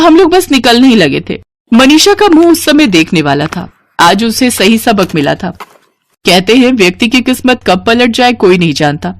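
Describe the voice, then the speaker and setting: A woman storyteller reads a scripted moral tale. Her voice is medium in pitch. The recording is dry, with no room sound. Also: no echo.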